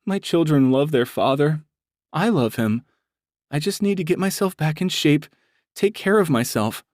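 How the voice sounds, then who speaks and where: A man's voice: medium pitch, lively intonation, measured pace, darkish tone, no echo, studio quality, voice pushed up, natural voice. A man voicing a nervous, tearful young married woman who blames herself. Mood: pleading. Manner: shaky, self-blaming, nervous.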